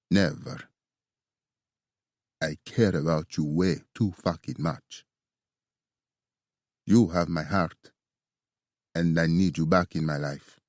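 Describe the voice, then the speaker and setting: A man voicing a remorseful, protective young male assassin with an Italian accent, speaking low and earnestly. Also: a little mellow, no echo, studio quality.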